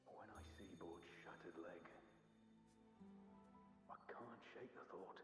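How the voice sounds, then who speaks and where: A man playing a solemn, doubt-ridden warrior in a video game, speaking gravely with heavy reverb. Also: low-pitched, slow, far from the mic. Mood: grave, brooding. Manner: grave, brooding. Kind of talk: video game dramatic monologue. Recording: long echoing reverb under music.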